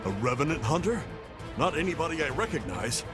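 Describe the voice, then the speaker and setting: Suspicious thug over loud music. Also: male voice, on the high side, big pitch swings, brisk. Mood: hostile, suspicious. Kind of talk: scripted video game cutscene acting.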